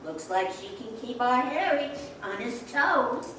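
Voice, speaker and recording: A woman's voice: on the high side, animated, measured pace. A woman playing a bossy, meddling older small-town mother in an old-time radio play. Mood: curious. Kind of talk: old-time radio drama stage acting. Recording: echoey theater, distant mic, noisy.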